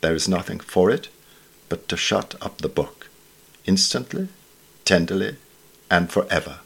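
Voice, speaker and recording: A man reading literary essays from a script in a formal, measured manner. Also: low voice, animated, creaky, fairly bright tone, slightly rough, low-quality recording. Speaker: reader of newspaper essays.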